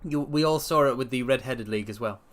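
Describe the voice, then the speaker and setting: Male livestream reader: medium pitch, playful, theatrical, casual commentary. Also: fast. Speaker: streamer doing a dramatic reading.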